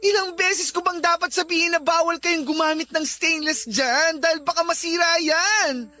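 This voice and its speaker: Fed-up grown kid nagging mom, radio drama, really high sharp voice. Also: female voice, fairly even intonation, moderate pace, soft background music. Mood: exasperated scolding. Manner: exasperated, nagging. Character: irritated adult child scolding an elderly mother. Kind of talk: Tagalog radio drama acting.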